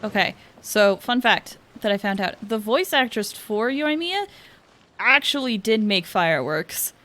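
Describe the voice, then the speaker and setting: A woman's voice: medium pitch, expressive, unhurried, pushed and bright. A woman game streamer giving casual livestream commentary with music behind her. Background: faint game music.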